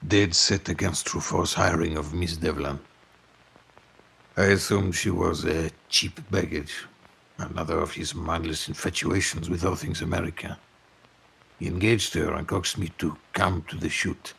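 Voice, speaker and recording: A man's voice: deep voice, steady tone, unhurried, a bit bright, exaggerated voice. An actor playing a snarling, misanthropic French film producer in his eighties, grandiose and florid in a scripted documentary-style interview. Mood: bitter, haughty. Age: 80s. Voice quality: gravelly, raspy.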